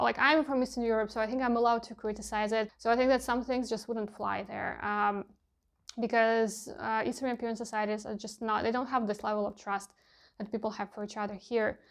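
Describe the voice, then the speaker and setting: A young woman whose first language is Russian, talking candidly in a casual vlog and often pausing with fillers as she hunts for words. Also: a little high. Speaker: vlogger.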